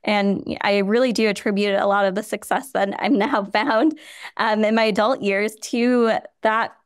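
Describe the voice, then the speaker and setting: A woman's voice: medium pitch, fairly even intonation, a little slow, smooth, no echo, studio quality. A woman from Chicago who grew up speaking Hindi and Gujarati, answering earnestly as a nonprofit founder in a podcast interview, with frequent "you know" fillers. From Chicago, USA.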